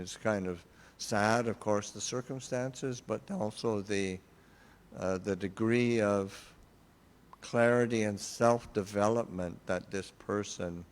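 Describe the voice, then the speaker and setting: An older man, a Buddhist monk, giving an informal talk and answering questions, pausing often with fillers and restarts. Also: fairly low pitch, steady tone, in a large hall. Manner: halting, many fillers and restarts.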